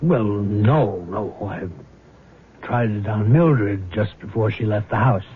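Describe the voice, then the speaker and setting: An actor playing a middle-aged, self-deprecating rich husband in a radio drama, hesitant, courteous and cryptic, with a husky voice. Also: male voice, fairly low pitch, lively intonation, slow pace, exaggerated voice. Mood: cryptic, brooding. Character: troubled wealthy betrayed husband, secretive and self-deprecating godfather. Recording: muffled old radio drama recording.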